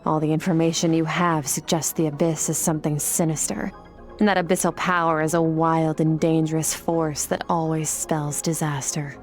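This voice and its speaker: A woman's voice: sits low, flat delivery, moderate pace, soft background music, natural voice. A woman voicing a cool-headed, aloof mentor in a video game, who speaks in a curt, businesslike way. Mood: explaining.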